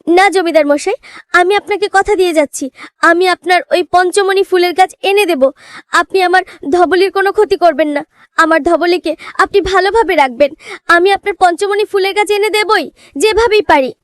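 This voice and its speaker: A woman's voice: very high-pitched, normal speed, no echo, clean studio sound, pitched above the performer's own voice. A woman voicing a poor village girl in a fairy-tale cartoon, earnest, insistent and imploring. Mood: desperate, insistent. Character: pleading poor village girl, devoted to her cow.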